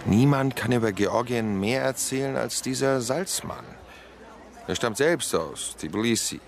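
An actor playing a scheming police detective who talks casually and cajolingly in a radio play. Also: male voice, mid-range voice, animated, measured pace, a crowd in the background. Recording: clear background noise and ambience.

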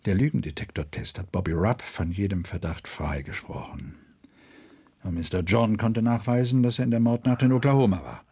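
A man's voice: on the low side, moderate pace, dark tone. An actor playing a troubled, sleepless male murder investigator who broods and thinks aloud in a radio drama. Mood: brooding, weary. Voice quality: husky.

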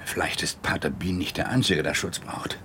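An actor playing a grave, weary police detective in a German radio drama, brooding and earnest, with a gravelly voice. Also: male voice, low-pitched, moderate pace, heavy background noise, close to an everyday voice.

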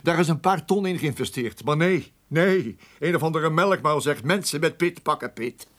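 A man's voice: a little high, animated, normal speed, fairly bright tone. An actor playing an exasperated, sarcastic male boss who rants and stammers with indignation in a radio play. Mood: furious sarcasm.

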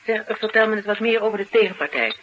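A woman's voice: mid-range voice, lively intonation, fast, highs cut off, close to an everyday voice. An actress playing a tough, blunt, determined woman interviewer in a Dutch radio drama. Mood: friendly, businesslike. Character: tough, sharp-tongued, determined woman interviewer sheltering a fugitive. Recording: noisy old radio drama recording.

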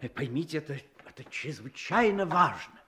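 An actor playing an earnest, excitable English gentleman in a radio play, speaking politely, eagerly and with emphasis. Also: a little high, normal speed. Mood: polite, insistent.

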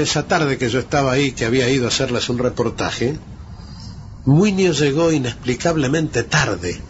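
A dull, noisy archive radio tape. An older Uruguayan man hosts, mid-pitched, telling memories deliberately in conversation. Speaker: radio host.

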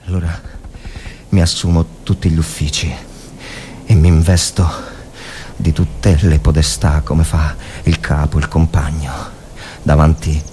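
A man's voice: rumbling low, little pitch movement, a little slow, long pauses, dark tone. A man reading a literary war story aloud as a dramatic narration. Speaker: literary reader. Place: radio reading with ambience behind. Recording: clear background ambient noise.

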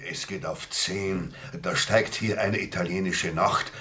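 A man's voice: deep voice, a little slow, sharp tone. A man playing a harried, grumbling but obliging innkeeper in a radio drama, given to muttering. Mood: politely insistent. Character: flustered, grumbling innkeeper, obliging yet insistent.

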